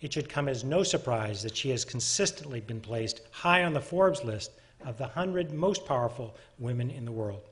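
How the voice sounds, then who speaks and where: A man's voice: mid-range voice, lively intonation, moderate pace, few pauses. A man hosting a forum, reading a prepared introduction of the guest and now and then stumbling and correcting himself. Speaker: forum host introducing the speaker. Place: lecture hall.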